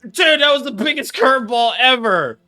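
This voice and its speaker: A man giving casual live reactions as a game streamer, excitable and given to swearing. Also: very high voice, normal speed, faint music behind.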